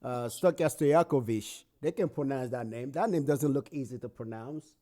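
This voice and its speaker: A man's voice: mid-range voice, normal speed, warm tone, dry recording, clean studio sound. A man from Nigeria on a casual podcast, talking emphatically and repeating his points. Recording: crowd chatter behind.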